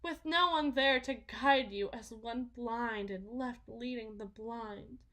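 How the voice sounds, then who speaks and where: Woman performing a delusional yandere mother, fairly high voice, very slow deliberate pacing, possessive, patronizing, theatrical ASMR monologue. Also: bright, forceful tone, exaggerated voice. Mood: condescending, chiding. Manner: theatrical, drawn-out, deliberate phrasing. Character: delusional, possessive, condescending wealthy mother, obsessively doting. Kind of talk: ASMR roleplay, dramatic monologue.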